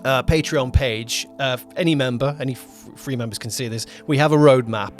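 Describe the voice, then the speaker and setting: Man, podcast co-host, medium pitch, casual chat, rambling and self-correcting, faint music behind. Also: moderate pace. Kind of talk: casual chat about a production.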